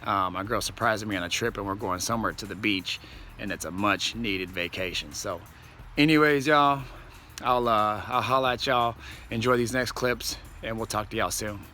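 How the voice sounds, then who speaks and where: Car vlogger, man, slightly raspy, laid-back rambling delivery, bright forceful tone, faint background noise. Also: lowish voice, moderate pace. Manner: laid-back, rambling, many ums, says y'all. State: slightly raspy, losing his voice. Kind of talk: casual vlog chat to viewers.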